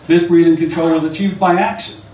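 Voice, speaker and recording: A man's voice: fairly high pitch, steady tone, brisk, a little mellow. A man reading aloud from a book at a live literary event in a bar. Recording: distant, echoey, noisy live bar recording.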